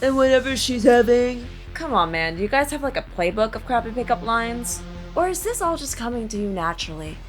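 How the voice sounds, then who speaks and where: A woman's voice: a little high, big pitch swings, a little fast, soft background music. A woman playing a tough, sardonic female bounty hunter in an audio drama, blunt and teasing. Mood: mocking, flirty.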